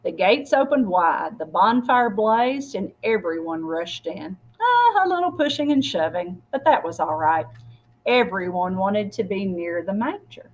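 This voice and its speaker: A woman with a husky voice reading a storybook aloud expressively, as if to children. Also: mid-range voice, unhurried, low-quality recording. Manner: expressive, acts out dialogue. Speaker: story reader.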